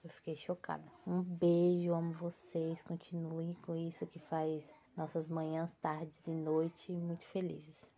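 A woman's voice: low voice, monotone, a little slow, few pauses, dark tone, a little hiss or hum. A woman, telling her story in a plain, matter-of-fact voice message to a podcast. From Bahia, Brazil. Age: 20s. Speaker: listener sending a voice message. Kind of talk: recorded listener message.

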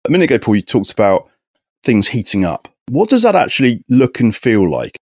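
A man's voice: medium pitch, sing-song, brisk, darkish tone, slightly rough, no room sound, clean studio sound. A man hosting a call, asking guests probing questions. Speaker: host.

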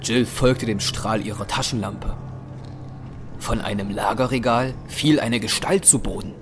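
A man's voice: fairly low pitch, measured pace. A man narrating a scripted German audio drama. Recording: music bed under narration.

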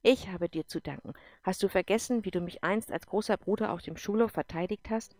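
A woman's voice: mid-range voice, fairly quick, a little mellow, lightly acted. Woman voicing an affectionate young sister.

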